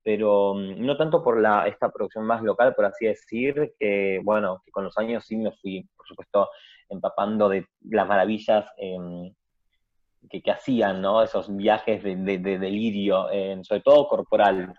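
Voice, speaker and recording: A man's voice: lowish voice, steady tone, normal speed. Over a narrow remote-call line, a man with an Argentine accent talks casually as a guest, hesitantly searching for words. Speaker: guest performing artist and teacher. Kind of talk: casual interview talk. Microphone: remote internet call. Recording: narrow, tinny phone-like call audio.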